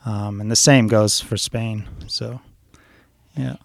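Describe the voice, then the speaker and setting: A man's voice: fairly low pitch, slow pace, vocal fry, no room sound, studio quality. A man guesting on a talk show, chatting loosely and rambling through stories with lots of ums and uhs. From born in Switzerland, raised in New York City. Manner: relaxed, rambling storyteller with frequent fillers. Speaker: chef guest. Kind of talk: casual interview talk.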